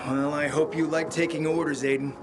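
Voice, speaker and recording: A man's voice: on the high side, little pitch movement, a little fast, over music, natural voice. An actor playing a sardonic male companion who mocks everyone around him. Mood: sarcastic. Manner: sarcastic, mocking. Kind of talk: video game cutscene.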